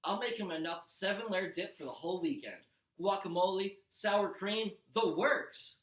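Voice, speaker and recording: A man voicing a kind, affectionate, even-tempered mother in a storybook read-aloud. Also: sits high, moderate pace, voice pushed up. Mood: calm, placating. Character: Percy's kind, soothing, doting mother, adult woman. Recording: roomy echo.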